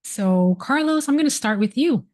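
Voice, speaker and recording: A woman's voice: fairly high pitch, animated, moderate pace, a little mellow. A woman hosting a live online panel, introducing each guest and praising them effusively. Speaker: host.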